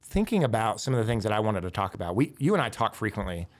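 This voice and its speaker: A man's voice: on the low side, big pitch swings, fast. A man hosting a podcast interview, talking earnestly and poking fun at himself, with fillers and restarts. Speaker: podcast host and county commissioner.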